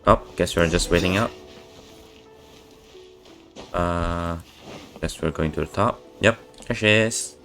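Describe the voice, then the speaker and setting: Slow, deep-voiced streamer mumbling. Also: male voice, fairly bright tone, faint music behind. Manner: mumbling, hesitant, many fillers. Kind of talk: casual gameplay commentary.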